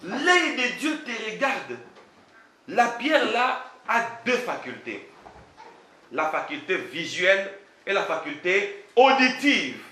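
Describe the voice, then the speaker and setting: A male pastor preaching in a roomy, echoing space with a faint hiss underneath. His voice is bright and forceful, swinging widely in pitch as he builds emphatic rhetorical questions. He speaks French as a second language. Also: very animated, slow. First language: not French. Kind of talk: sermon.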